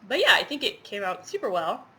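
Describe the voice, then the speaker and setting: A woman's voice: medium pitch, very animated, brisk, sharp tone. A woman with a stuffy head cold, chatting casually and rambling with lots of fillers as she shows her stitching projects. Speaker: cross-stitch vlogger. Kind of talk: casual show-and-tell chat.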